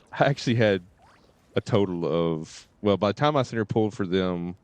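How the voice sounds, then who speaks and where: A man streaming a game and chatting casually, halting and often repeating himself. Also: on the low side, lively intonation, no room sound. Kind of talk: casual live stream chat.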